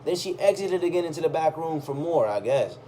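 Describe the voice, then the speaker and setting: Man storytelling over clear background noise. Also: fairly high pitch, lively intonation, brisk, dark tone, poor audio. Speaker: reader of a personal chronicle. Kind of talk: expressive first-person storytelling read aloud.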